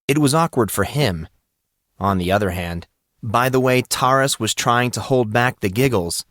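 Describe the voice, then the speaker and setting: Guy narrating a story audiobook, dramatic and lively pitch, dry close sound. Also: no echo. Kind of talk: scripted fiction narration, dramatic audiobook reading.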